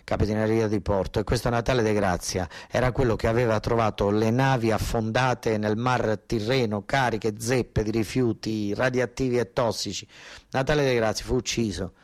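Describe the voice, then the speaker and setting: An actor playing a desperate working-class southern Italian son and ex-soldier, in a colloquial, emphatic theatrical monologue with a southern Italian accent. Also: male voice, fairly low pitch, steady tone, moderate pace, lightly acted. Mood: grave, indignant.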